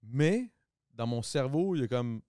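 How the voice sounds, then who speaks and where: A man's voice: medium pitch, lively intonation, a little slow, mellow, clear, resonant tone, no room sound, studio quality. A man with a Quebec French accent hosting a podcast, talking casually and mixing in English slang and swearing. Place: podcast studio.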